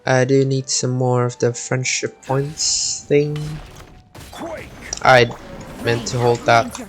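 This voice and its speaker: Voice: mid-range voice, little pitch movement, slow, slight background noise. A video game streamer giving casual gameplay commentary, thinking aloud and muttering with the odd swear word.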